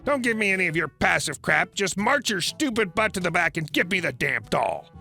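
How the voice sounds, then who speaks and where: A man's voice: high-pitched, very animated, moderate pace, pushed and bright, soft background music, voice pushed up, full character voice. A man voicing a rude, entitled middle-aged woman shopper who screeches demands and insults. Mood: snappish.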